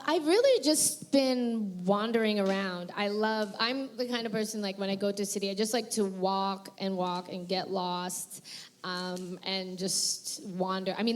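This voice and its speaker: A woman voice actress answering questions at a convention panel, chatty and rambling with frequent fillers and laughs. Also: medium pitch.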